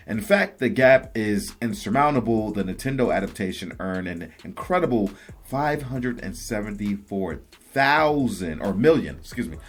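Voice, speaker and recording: A man hosting a video, giving casual, opinionated commentary on entertainment news in informal, slangy language. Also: lowish voice. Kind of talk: casual commentary, reading an article aloud.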